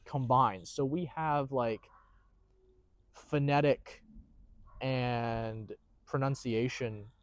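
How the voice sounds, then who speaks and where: Man, from the US, podcast host, casual rambling chat, fillers, middle pitch, dry close mic. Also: fairly even intonation, very slow, pauses often, clean tone, no room sound, lo-fi sound. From United States.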